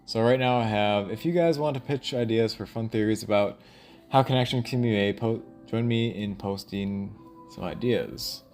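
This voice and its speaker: A man thinking aloud in a rambling, casual audio log, halting and often trailing off mid-thought. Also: lowish voice, a little mellow, faint music behind. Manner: casual, profane.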